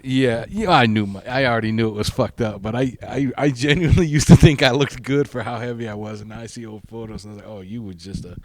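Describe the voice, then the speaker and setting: A man in his fifties, a comedian guesting on a podcast, talks in a loose, crude way and mocks himself as he goes. His voice sits at an ordinary pitch. It is recorded close on a dry mic with no room sound. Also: quick pace, hardly any pauses, no room sound. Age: 50s. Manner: crude, self-mocking, laughs at his own stories. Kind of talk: casual podcast chat.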